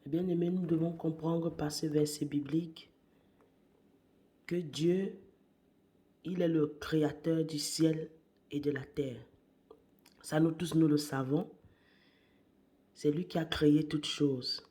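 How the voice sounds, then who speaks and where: A woman preaching a morning sermon while she has a cold. Her voice is worn, and she speaks deliberately, repeating key phrases and sometimes dropping to a hush. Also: low voice, steady tone. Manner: slow and deliberate, repeats phrases, hushed at times.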